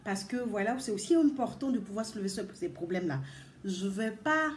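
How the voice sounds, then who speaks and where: A woman's voice: mid-range voice, animated, fairly quick, slight background noise. Earnest woman in an echoey room. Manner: earnest, emphatic, repeats words and addresses listeners directly. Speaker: vlogger giving advice to mothers. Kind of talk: casual advice talk to viewers.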